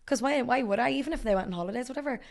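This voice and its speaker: A Northern Irish woman in her twenties, a podcast guest with a slightly hoarse voice, telling stories in a chatty, laughing way. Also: a little high, very fast. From Northern Ireland. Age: 20s. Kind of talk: casual chat. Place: studio.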